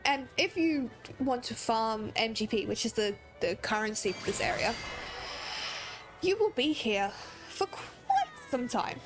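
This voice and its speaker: A woman's voice: fairly high pitch, very animated, a little slow, pauses often, music in the background, rough recording. Chatty young woman, Australian-American accent. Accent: Australian with American drift. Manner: chatty, rambling, laughs often. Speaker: gaming streamer. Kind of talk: casual livestream chat while playing.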